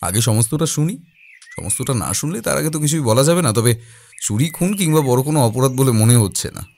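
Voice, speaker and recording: A man playing a calm, observant detective in an audio drama, speaking deliberately with thoughtful pauses. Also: medium pitch. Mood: calm, pondering. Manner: deliberate, pausing, hesitating mid-thought.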